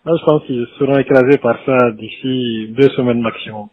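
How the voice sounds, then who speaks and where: A man giving an informal briefing over the telephone, halting, repeating words and agreeing deferentially. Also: mid-range voice, steady tone, breathy edge, no echo. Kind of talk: informal briefing in a phone call. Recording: dull lo-fi phone-call recording.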